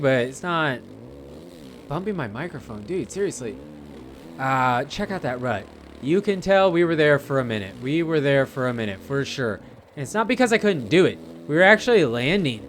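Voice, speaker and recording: A man's voice: fairly high pitch, slight background noise. A man giving casual, rambling gameplay commentary, chatting informally and speaking directly to his viewers. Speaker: gamer narrating gameplay.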